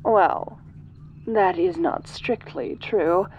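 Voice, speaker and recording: Audio roleplay: actress as sinister godlike alien woman, unhurried formal delivery, soft and domineering; dull sound with faint noise. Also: medium pitch, creaky. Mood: coaxing, haughty. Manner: slow, imperious, formal, silky. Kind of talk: soft-voiced audio roleplay, addressing the listener.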